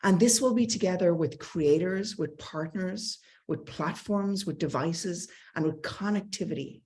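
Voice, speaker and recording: A woman's voice: on the low side, unhurried, a little mellow. A middle-aged woman with an Irish accent gives a conversational, enthusiastic talk with slides, mixing in light self-deprecating asides. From Ireland. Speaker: tech company speaker.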